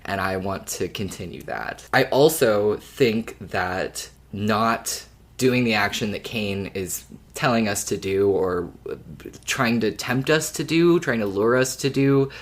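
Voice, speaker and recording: A man's voice: fairly low pitch, lively intonation, takes it slowly. Male co-host in casual podcast talk.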